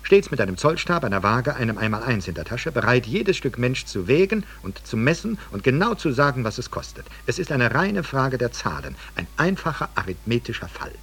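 A man's voice: medium pitch, big pitch swings, fairly quick, hardly any pauses, a bit bright, husky, full character voice. A man playing a stern, pompous, fact-obsessed schoolmaster in a radio play, theatrical and emphatic in delivery. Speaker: narrator reading a literary excerpt. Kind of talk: dramatic literary reading in a radio play.

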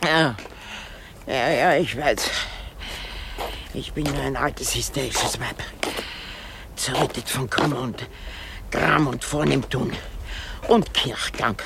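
A woman's voice: a bass voice, slow, creaky, pauses often, background noise, heavily acted. An actress playing an old, self-pitying, hysterical married woman in a radio drama, rambling theatrically with sighs and exclamations. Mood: lamenting, self-pitying. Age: older.

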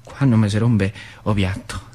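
A man's voice: fairly low pitch, steady tone, measured pace, warm tone. An actor playing a plain-spoken old factory worker with a central Italian Lazio dialect, telling his memories emphatically in a stage monologue. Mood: reminiscing. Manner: emphatic storytelling with repeated phrases. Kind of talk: theatrical monologue.

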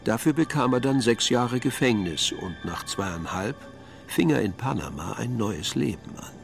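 A man's voice: medium pitch, expressive, normal speed, gravelly, faint music behind. A man narrating a radio crime drama from a script, in a wry storytelling manner.

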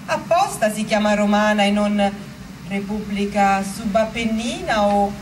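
A woman telling a story theatrically, in deliberate, emphatic phrases. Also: mid-range voice, echoey room, noisy background, lightly acted. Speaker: stage actor and narrator. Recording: distant microphone under loud music.

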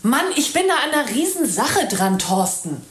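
An actress playing a driven, stubborn young radio journalist in a naturalistic radio drama. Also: female voice, on the high side, sing-song, moderate pace, slightly rough, full character voice. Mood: impatient, defiant.

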